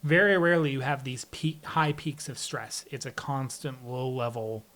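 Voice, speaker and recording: A man's voice: mid-range voice, fairly even intonation, normal speed, fairly bright tone. A man speaking as a podcast guest in a candid interview, explaining things in a plainspoken, reflective way. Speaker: podcast guest, air traffic controller.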